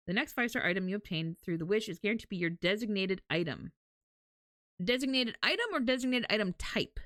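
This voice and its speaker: A woman's voice: mid-range voice, fairly bright tone, no echo, clean studio sound. A woman livestreamer chatting casually and informally as she reacts to a game announcement for her viewers. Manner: informal, mild swearing.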